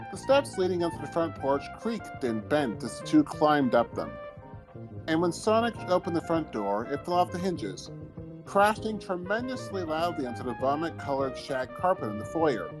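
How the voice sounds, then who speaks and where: A man narrating a story aloud in a dramatic, mock-serious way, with theatrical, drawn-out phrasing. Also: on the high side, rough recording. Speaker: narrator reading fan fiction aloud with friends. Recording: music behind, deliberately old-fashioned microphone sound.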